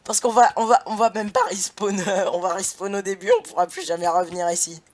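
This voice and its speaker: A man's voice: high-pitched, steady tone, a little fast. A young man hosting a casual gaming session, laughing often and swearing playfully at his partner. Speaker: gaming channel host.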